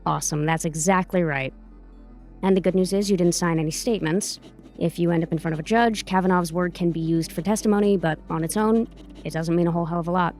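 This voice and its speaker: Shrewd female attorney, played by an actress, low and dark-toned, firm, dry, cynical, for an audio drama. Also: quick pace, soft background music, natural voice. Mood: coolly coaching. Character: shrewd, brisk, cynical, expensive female lawyer.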